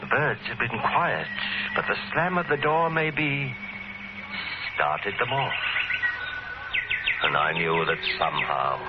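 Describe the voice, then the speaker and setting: An actor playing a nervous, evasive murderer who keeps birds, speaking haltingly and intensely in an old-time radio mystery. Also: male voice, mid-range voice, animated. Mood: haunted, tense. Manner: halting, intense, repeats himself. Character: guilty, haunted murderer, male bird keeper, nervous and evasive. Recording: noisy, muffled old radio recording.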